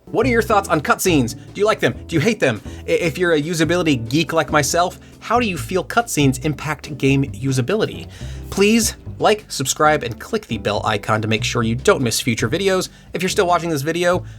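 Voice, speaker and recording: A man presenting a scripted video essay about game usability, with dry humor and excited asides. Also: fairly high pitch, fairly quick, faint music behind. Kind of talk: scripted commentary with jokes.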